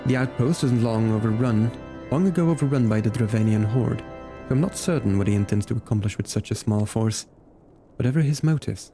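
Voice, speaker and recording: A man's voice: mid-range voice, natural voice. A man reading game dialogue as a worried nobleman of a fantasy realm, earnest and courtly in his wording, over music. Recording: background music behind the voice.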